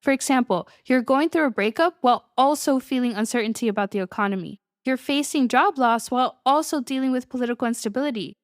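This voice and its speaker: A woman's voice: on the high side, fast, hardly any pauses, no echo, studio quality. A young adult woman hosting her own podcast as a coach, talking casually and upbeat, often breaking into little laughs. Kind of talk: solo podcast monologue.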